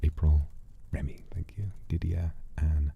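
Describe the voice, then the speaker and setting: A man hosting a livestream, chatting casually and reflectively in a soft-spoken, sincere, unhurried way that often drops to a breathy murmur. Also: very deep voice, flat delivery, phone-quality sound, slight background noise. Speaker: livestream host.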